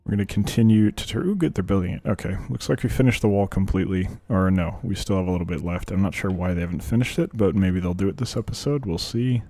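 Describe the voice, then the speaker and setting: Deep-voiced man venting over gameplay. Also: fast talker, few pauses, warm tone, no echo. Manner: rambling, venting, frank. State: sore throat. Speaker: gaming YouTuber. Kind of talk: casual gameplay commentary.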